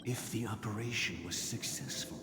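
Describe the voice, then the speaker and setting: An actor playing a composed, formal man who delivers grave news in measured, eloquent phrases for a game. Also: lowish voice, very noisy, close to an everyday voice. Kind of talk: scripted game voice acting. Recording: echoey hall reverb under loud music.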